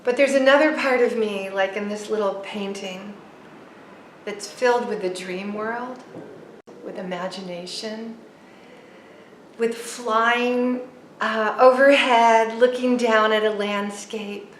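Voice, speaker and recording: Woman artist, unhurried and reflective, faint background hiss. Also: fairly high pitch, steady tone, roomy sound, low-quality recording. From East Coast of the US. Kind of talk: reflective talk about her art.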